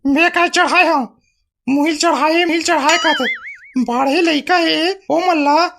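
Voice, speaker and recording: A woman's voice: very high voice, unhurried, vocal fry, noisy background, heavily acted. A woman playing a coaxing, complaining village mother in a cartoon comedy, plaintive and bickering, with a Chhattisgarhi accent. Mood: defensive.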